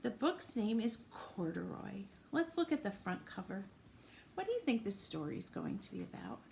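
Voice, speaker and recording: A woman's voice: medium pitch, big pitch swings, normal speed, vocal fry, a little mellow, a little hiss or hum. A woman reading a storybook aloud to young children, encouraging them and putting questions to them directly as friends. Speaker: children's read-aloud presenter.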